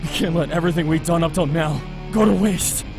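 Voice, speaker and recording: Man acting high-voiced wounded young hero, pained. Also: a little fast, music in the background, natural voice. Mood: solemn, resolute. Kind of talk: visual novel voice acting.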